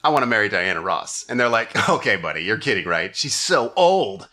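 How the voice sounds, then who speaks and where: A man's voice: on the low side, very animated, fairly quick, sharp tone. A man hosting a comedy podcast, telling stories casually and irreverently, with swearing and mock quotes. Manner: irreverent, profane, mock-quoting. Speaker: podcast host. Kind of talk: casual comedic storytelling chat.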